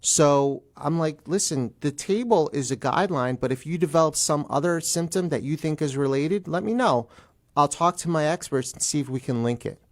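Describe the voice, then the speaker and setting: A man's voice: fairly high pitch, normal speed. A man speaking conversationally as a guest attorney on a talk show, explaining things emphatically and repeating key phrases.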